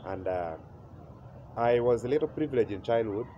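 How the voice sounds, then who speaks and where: A man in his forties from Uganda with a Ugandan accent, telling his life story as an interview guest, pausing often with "uh" and chuckling at memories. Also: mid-range voice, animated. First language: Acholi. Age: 40s. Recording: slightly muffled with faint noise.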